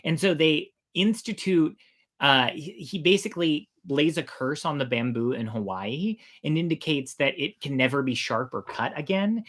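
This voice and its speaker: Storytime host, informal and playful, with highly varied intonation and a conversational delivery. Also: lively intonation, moderate pace, a bit bright. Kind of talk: informal animated folk-tale storytelling.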